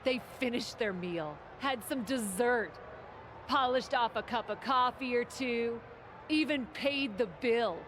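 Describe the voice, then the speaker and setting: A sardonic female wrestler delivers a game cutscene promo, acted by a woman. She is very unhurried and theatrical, dripping contempt, with a voice a bit on the high side. Noise is clearly audible behind her. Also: close to an everyday voice. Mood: scornful.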